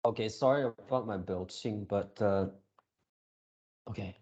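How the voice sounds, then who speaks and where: A man hosting a reaction video with casual, off-the-cuff commentary, speaking haltingly and repeating his words and phrases. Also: on the low side, a little mellow, highs cut off.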